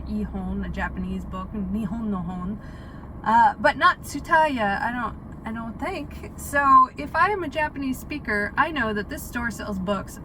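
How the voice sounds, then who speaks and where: A woman's voice: medium pitch, noisy background. A woman hosting her own knitting vlog, chatting casually and directly to her viewers in a rambling way, with plenty of fillers and small laughs. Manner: friendly direct address to viewers. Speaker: knitting vlogger, host. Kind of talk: casual chat to camera.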